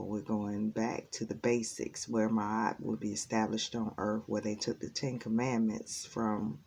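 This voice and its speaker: Slow, gravelly woman reading tarot. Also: rumbling low, a little mellow, slight background noise. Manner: rambling and earnest, many ums. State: raspy just-woken voice. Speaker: tarot reader. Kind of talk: casual spiritual tarot reading.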